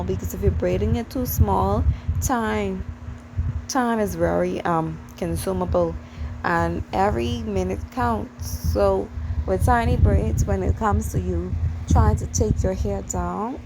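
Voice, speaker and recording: A woman's voice: medium pitch, background noise. A woman from the Bahamas with a Bahamian accent, talking through a hair tutorial in a chatty way, repeating herself and speaking to her viewers directly. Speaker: channel host.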